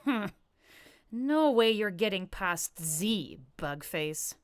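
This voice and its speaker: Woman as a cocky, animated cartoon girl creature. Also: medium pitch, slow pace, background noise. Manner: slangy, bragging. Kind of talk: animated comic fandub.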